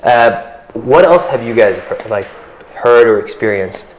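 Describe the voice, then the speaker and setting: A man's voice: mid-range voice, unhurried, a little hiss or hum, rough recording. Young man hosting a casual panel. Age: young adult. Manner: relaxed, curious questioning, uses casual slang. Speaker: host.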